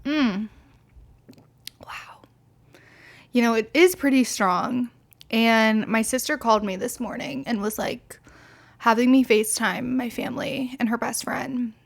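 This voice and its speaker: A woman's voice: on the high side, a little slow, long pauses. A woman in her twenties, slightly tipsy, rambling candidly through a casual solo podcast with lots of fillers and swearing. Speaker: podcast host.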